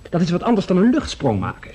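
Assured young-aviation inventor, rapid and husky, calm and practical, seventies radio play. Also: male voice, high-pitched, heavily acted. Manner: matter-of-fact, assured. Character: calm, confident male aeroplane pioneer. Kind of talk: 1970s Dutch radio drama acting.

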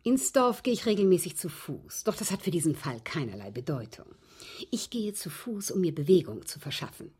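Radio play casting: a composed, well-mannered widow with a secret, lowish husky voice, precise and faintly amused. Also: female voice, very animated, a little fast, a little mellow, full character voice. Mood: chatty, matter-of-fact. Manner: polite, precise, unruffled, coolly amused. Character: genteel, composed widowed lady calmly confessing to poisoning. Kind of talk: German radio drama acting.

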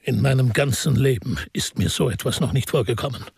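An actor playing an older, good-natured but affronted industrialist uncle in a German radio drama, gravelly, husky and a little pompous. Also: male voice, medium pitch, a little fast, darkish tone, dry recording, clean studio sound. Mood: indignant.

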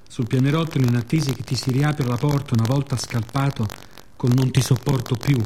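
Mellow man performing a theatrical monologue. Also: medium pitch, slight background noise. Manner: expressive, dramatic pauses, short phrases.

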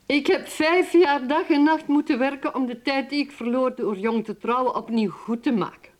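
A woman's voice: high-pitched, normal speed, natural voice. An actress playing a bitter, ambitious middle-aged mother who drinks, in an intense, dramatic radio play style. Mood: defiant, irritated. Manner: intense, dramatic, swinging between speech and whispered brooding. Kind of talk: radio drama acting, dialogue and inner monologue.

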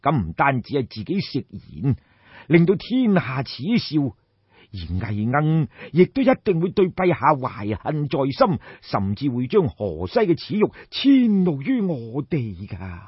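Elderly royal counsellor for audio storytelling, acted by a man: shrewd, deferential, deliberate, roughened voice, slightly muffled recording. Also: medium pitch, sing-song, no echo, heavily acted. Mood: earnest, cautioning. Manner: measured, formal, deliberate counsel. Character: grave old male royal minister, deferential, shrewd.

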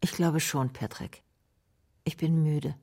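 An actress in a radio drama playing a tired, sickly middle-aged mother who speaks bluntly to her grown son, with a husky, creaky voice. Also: sits low, steady tone, takes it slowly, mellow, heavily acted. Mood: exhausted.

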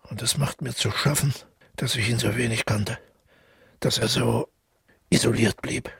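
Gravelly, brooding man, close and dry. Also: mid-range voice, fairly even intonation, measured pace, fairly bright tone, dry recording, exaggerated voice. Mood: brooding, reflective. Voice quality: gravelly, husky. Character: brooding, isolated man haunted by dreams and guilt. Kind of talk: literary radio drama monologue.

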